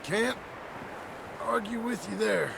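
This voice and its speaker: A wounded, freezing outlaw for a western game. His weak voice is gravelly and a little high, with clear noise behind. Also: takes it slowly, full character voice. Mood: weary. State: weakened, freezing. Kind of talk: video game cutscene acting.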